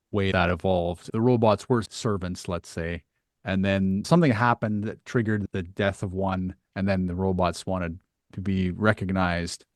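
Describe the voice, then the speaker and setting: Low-voiced man musing as podcast host. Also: darkish tone, no room sound, clean studio sound. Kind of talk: casual, reflective interview discussion.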